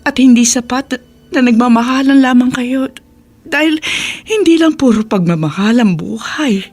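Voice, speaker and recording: A woman acting a middle-aged, loving but firm mother in a Filipino radio drama, with a breathy, husky voice and an emotional, pleading manner. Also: a little high, normal speed, a little mellow, lightly acted. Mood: earnest, firm. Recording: background music under the voice.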